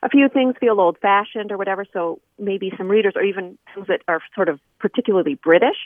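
A woman's voice: medium pitch, fast, dry recording. A woman guest explaining her scholarly work informally and conversationally in an interview over a remote call. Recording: thin phone-like line.

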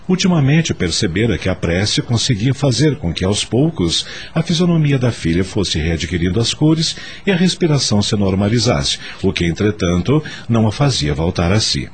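A man's voice: on the low side, very animated, moderate pace, a little hiss or hum. A man with a Brazilian accent narrating a scripted radio drama in a dramatic storytelling style.

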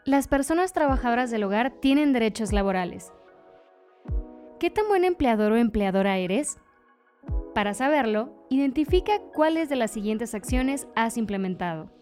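A woman reads a scripted announcement in a polished, even delivery. Her voice sits a bit high, and faint music runs under it. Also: normal speed.

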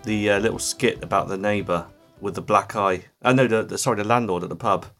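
Quick low-voiced man. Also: steady tone, a bit bright, faint music behind. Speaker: podcast co-host. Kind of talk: casual discussion.

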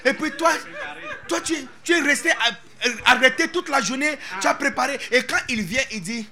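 A man preaching a sermon as pastor, animated and playful, dropping English interjections into his talk. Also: extremely high pitch, moderate pace.

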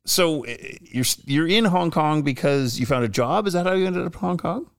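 A man's voice: a little high, expressive, a little fast, vocal fry, dry recording, clean studio sound. A man from Canada co-hosting a casual talk, asking joking, teasing questions. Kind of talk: casual conversation.

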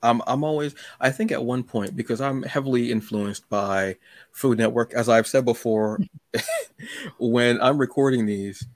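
A man's voice: medium pitch, moderate pace, dry recording, clean studio sound. A man hosting a podcast, talking in a casual, effusive, rambling way and often repeating his words. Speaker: podcast host and interviewer. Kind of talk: casual, chatty interview.

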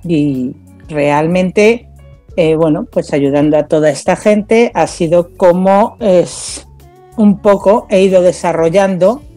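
A woman from Spain, a radio guest telling her own story in a relaxed way with many small pauses. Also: lowish voice, faint music behind. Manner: storytelling, hesitant fillers. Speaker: guest, social media community manager. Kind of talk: relaxed interview talk. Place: radio studio.

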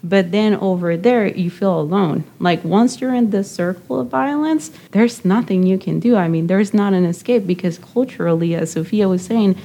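A woman's voice: medium pitch, normal speed, hardly any pauses, mellow. A woman from Nicaragua hosting a panel talk, speaking thoughtfully and a bit ramblingly.

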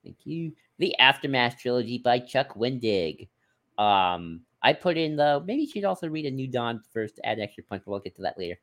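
A man's voice: medium pitch, very animated, moderate pace, a bit bright. A man co-hosting a podcast in casual chat, informal and stop-start, often hedging and slipping into asides. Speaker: podcast co-host. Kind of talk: casual chat, discussing book picks.

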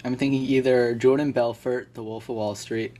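A man in a casual podcast chat who guesses out loud and laughs often. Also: mid-range voice, fairly even intonation, moderate pace.

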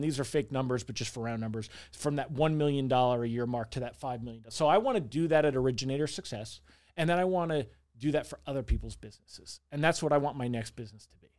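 A man's voice: medium pitch, darkish tone. Talkative man in his forties, thinking aloud. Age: 40s. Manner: talkative, self-reflective, repeats words. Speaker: interview guest, small business owner. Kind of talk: candid conversational interview.

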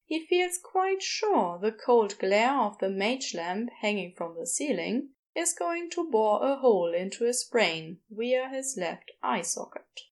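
A woman's voice: fairly high pitch, very animated, a little slow, few pauses. A woman narrating a scripted story in an audiobook style.

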